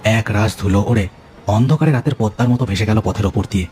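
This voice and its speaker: Low-voiced male Bengali story narrator. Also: quick pace, darkish tone. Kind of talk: dramatic scripted narration of a cartoon ghost story.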